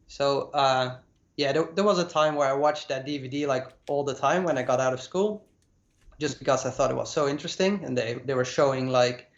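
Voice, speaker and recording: A Dutch man in his late twenties talks through his story as a class guest, halting on fillers and repeating himself. His voice sits at a middling pitch. It comes through roomy and a little muffled, as if over a call. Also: creaky. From Netherlands. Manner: hesitant, many uh fillers and repeated words. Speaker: guest compositing artist. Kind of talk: casual talk about his career. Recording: echoey, dull lo-fi sound.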